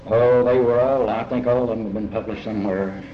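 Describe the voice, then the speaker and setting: Deep-voiced Appalachian man, muffled noisy recording. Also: steady tone. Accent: Appalachian American. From Ohio River and Big Sandy region of Appalachia, USA. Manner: halting, deliberate, repeats phrases. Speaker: writer being interviewed. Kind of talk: reflective interview talk. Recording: muffled, echoey, noisy old interview tape.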